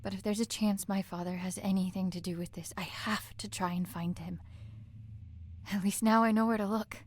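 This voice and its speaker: A woman's voice: mid-range voice, fairly even intonation, normal speed, darkish tone, slight background noise, natural voice. An actress playing a troubled, guilt-ridden but determined woman in a video game drama, speaking in an earnest, hesitant way. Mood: anguished, determined.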